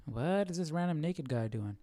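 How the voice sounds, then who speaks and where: A man's voice: a little high, animated, moderate pace, warm tone, slight background noise. A man hosting a Bible study, giving casual, informal commentary as he muses aloud.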